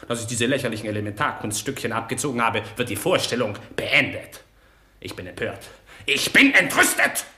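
An actor playing a vain, indignant old Indian fakir in a comic radio play, grand and theatrical in manner. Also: male voice, medium pitch, sharp tone, reverberant. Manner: pompous, grandiose, easily offended, theatrical. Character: vain, indignant eighty-year-old Indian fakir, proud of his tortures.

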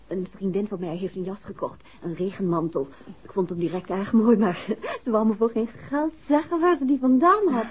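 An actress playing a playful, inquisitive detective's wife in a 1960s Dutch radio play, chatty and affectionate in manner. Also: female voice, mid-range voice, normal speed, lightly acted. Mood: polite, probing. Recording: dull vintage radio recording.

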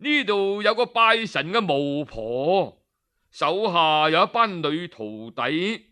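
A man telling a traditional folk tale in a measured, expressive way. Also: fairly high pitch, clear, resonant tone. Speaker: storyteller.

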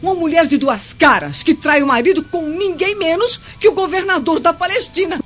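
An actress playing a haughty, sharp-tongued Roman wife in a radio drama, indignant and imperious. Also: female voice, extremely high pitch, moderate pace. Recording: muffled lo-fi radio drama, music underneath.

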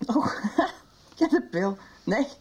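A woman's voice: a little high, big pitch swings, takes it slowly, a little mellow, slightly rough, heavily acted. An actress playing a naive, nervously cheerful young woman in a radio soap. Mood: nervous, eager. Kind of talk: Dutch radio soap acting.

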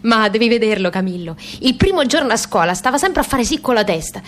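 An actress playing an insistent, wounded grown daughter in a radio play. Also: female voice, a little high, big pitch swings, a little fast, a little hiss or hum. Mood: proud, coaxing. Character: grown daughter pleading with her absent mother, insistent, wounded. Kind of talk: radio theatre dialogue.

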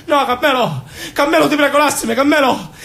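Man playing a high, pleading Sicilian boy. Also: fairly quick, a bit bright. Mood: terrified pleading. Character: frightened young Sicilian boy pleading with his tormentor.

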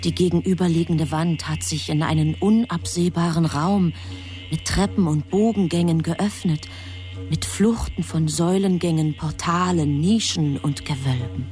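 A woman's voice: sits low, normal speed, darkish tone, lightly acted. A woman performing as the grave, composed narrator of a literary radio drama. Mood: measured, mysterious. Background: music.

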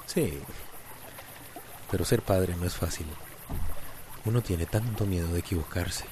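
A low-voiced, caring father. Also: a little slow. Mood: thoughtful. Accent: Costa Rican. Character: Mauricio, calm caring father, patient peacemaker. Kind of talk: radio soap opera acting. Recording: clear noisy outdoor ambience.

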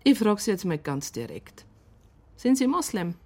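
Animated Bavarian police psychologist. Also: female voice, mid-range voice, moderate pace, no room sound. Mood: earnest, challenging. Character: female police psychologist, educated, earnest, probing, wryly narrating. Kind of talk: radio crime drama dialogue and narration.

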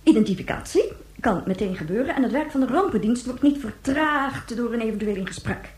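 An actress playing a composed, formal president of a space colony in a radio play, explaining matters in a measured, official manner. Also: female voice, fairly high pitch, animated, darkish tone, natural voice. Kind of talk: radio play acting as a news interview. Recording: roomy, echoing sound.

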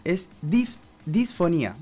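A man's voice: sits high, very animated, slow, dark tone, breathy edge, faint music behind. A man, co-hosting a casual radio chat, joking and explaining things. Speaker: radio co-host.

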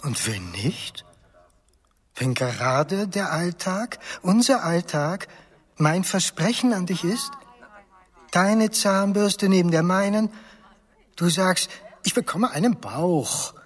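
A man's voice: high-pitched, lively intonation, slow pace, long pauses, full character voice. An actor playing an earnest, wistful husband who looks back on his marriage, speaking in a reflective, intimate radio drama monologue. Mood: tender, pleading. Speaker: actor and narrator. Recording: studio radio drama under music. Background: prominent music.

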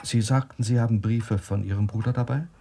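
An actor playing a steady, inquisitive confidant in a German radio drama, speaking in a measured, probing way. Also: male voice, on the low side, gravelly. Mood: resolute. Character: steady, inquisitive male confidant helping investigate a mystery.

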